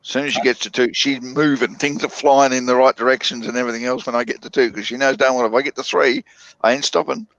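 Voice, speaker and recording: A man talks in a relaxed group conversation, often stopping to restart his sentences. His voice sounds a little dull and close, with no room around it. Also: mid-range voice, no room sound. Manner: halting, with many restarts and fillers. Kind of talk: casual group chat.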